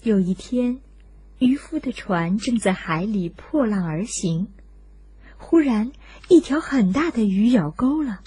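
Husky woman telling children's stories. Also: on the high side, animated, a little slow, dark tone, no echo. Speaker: children's story narrator and host. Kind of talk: scripted storytelling for children.

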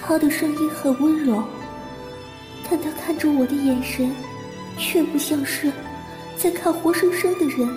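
A woman's voice: high voice, steady tone, measured pace, long pauses, echoey room, over music. A woman playing a timid, haunted young bride, hesitant and introspective, narrating an audio drama. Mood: uneasy. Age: young adult. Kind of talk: Mandarin audio drama acting with first-person narration.

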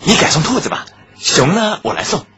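Casting: woman voicing lovestruck teen schoolboy, very husky, animated pitch, casual radio drama delivery. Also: fairly quick, exaggerated voice. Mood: bargaining. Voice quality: husky, low, boyish. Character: casual teenage schoolboy, shyly in love. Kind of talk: Chinese radio drama acting. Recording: dull lo-fi audio with music behind.

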